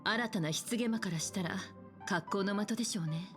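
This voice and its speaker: A composed mature woman leader from a game speaks in a measured, cautious way, voiced by an actress. Her lines come quickly over steady game music. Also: medium pitch, natural voice. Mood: calm. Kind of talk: Japanese game voice acting.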